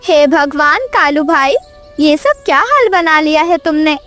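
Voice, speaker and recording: A woman's voice: sits high, expressive, unhurried, over music. A woman voicing a kind, humble mother bird in an earnest, tender cartoon story style. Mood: compassionate. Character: kind, humble mother sparrow, gentle and forgiving. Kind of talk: cartoon moral story acting.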